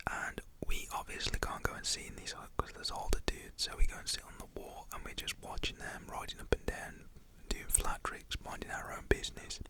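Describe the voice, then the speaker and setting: A person with a British accent whispers a casual, slangy personal story in an ASMR-like style. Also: very high voice. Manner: informal, slangy, acts out quoted shouts in a whisper.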